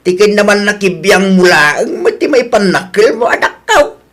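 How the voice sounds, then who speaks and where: A male actor as an older village father in a comic radio drama. His voice is very high for a man. He chides in a mocking, preachy way. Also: sing-song, moderate pace, fairly bright tone. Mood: mocking scolding. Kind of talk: Ilocano radio comedy drama acting.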